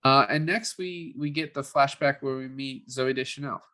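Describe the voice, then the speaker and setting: A man with a notably bright, crisp-edged voice talks casually as a podcast co-host reviewing films. His delivery is loose and conversational, with thoughts that hedge and trail off. His pitch sits in an average male range. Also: fairly even intonation, moderate pace. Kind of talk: casual film review chat.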